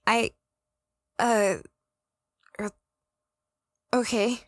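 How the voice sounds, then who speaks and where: A woman's voice: a little high, flat delivery, drawn-out words. A woman playing an adventurous, easily flustered young space explorer, narrating her story in an audio drama. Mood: hesitant meek. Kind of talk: first-person audio drama narration and dialogue.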